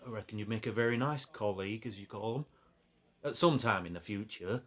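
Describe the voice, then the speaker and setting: A man's voice: lowish voice, a little fast, a bit bright. Plain-spoken Northern English pitman. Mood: wry, matter-of-fact. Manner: plain-spoken, dryly humorous. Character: loyal, wry former pitman, a steadfast friend. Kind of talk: naturalistic radio play acting.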